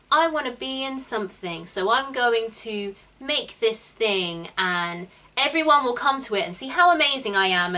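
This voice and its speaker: A young woman hosting a casual chat, explaining her advice with quick asides. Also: fairly low pitch, bright, forceful tone. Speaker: host.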